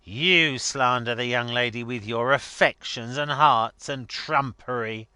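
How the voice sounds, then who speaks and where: A man acting a blustering, scornful older gentleman. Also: medium pitch, animated, measured pace, dry recording, voice pushed up. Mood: ranting, mocking. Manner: emphatic, mocking, exclamatory. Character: blustering, scornful older rich man berating his secretary.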